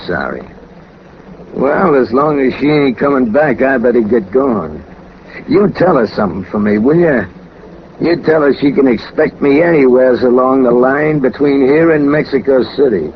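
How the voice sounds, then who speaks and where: A man's voice: medium pitch, expressive, moderate pace, close to an everyday voice. An actor playing a lonely, businesslike hired killer in 1940s radio crime drama, talking in casual, ungrammatical tough-guy fashion with matter-of-fact menace. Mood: calm, warning. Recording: dull, noisy old 1940s radio recording.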